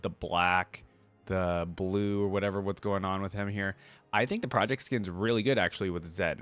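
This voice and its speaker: A man giving casual reaction commentary as a video host, informal and slangy, thinking aloud as he goes. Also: lowish voice.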